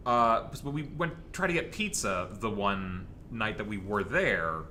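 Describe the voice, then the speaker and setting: Man, bright voice, animated intonation, casual halting storyteller, faint background noise. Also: on the low side. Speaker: panelist on a fan Q&A show. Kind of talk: casual chat, rambling anecdote.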